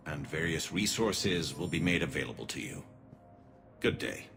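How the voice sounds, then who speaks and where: A man playing a composed, pragmatic alien councilor in a video game, speaking in a formal, measured, diplomatic manner. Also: rumbling low, animated, over music. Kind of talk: cinematic game dialogue.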